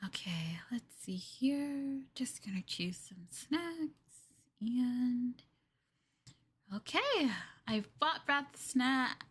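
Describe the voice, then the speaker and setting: A woman's voice: on the high side, animated, drawn-out words, husky, exaggerated voice. A woman playing a clingy, sweetly possessive young girlfriend in an ASMR roleplay, with a coy, hesitant, coaxing delivery. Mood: hesitant, soft, then sweetly obsessive. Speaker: streamer performing ASMR roleplay.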